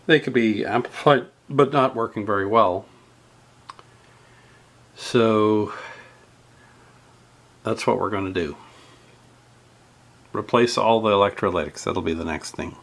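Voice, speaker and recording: Slow, gravelly man explaining a repair. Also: lowish voice, a bit bright. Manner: halting, thinking aloud while working. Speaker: host of a radio repair video. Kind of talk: unscripted hands-on repair tutorial.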